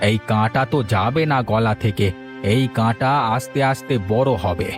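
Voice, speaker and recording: A man voicing a stern, crafty village healer with an admonishing manner in an animated story. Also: lowish voice, moderate pace, close to an everyday voice. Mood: stern, scheming. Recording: faint music behind the voice.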